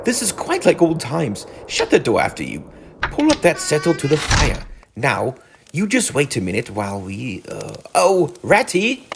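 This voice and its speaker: A man puts on a homely storybook mole, eager and excitable. His pitch is raised and swings widely, over faint background noise. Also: moderate pace, creaky, exaggerated voice. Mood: despairing.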